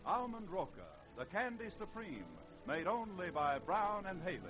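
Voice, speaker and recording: A man's voice: sits high, big pitch swings, normal speed, over loud music. A man announcing a show and its sponsor in a 1940s radio advertising style.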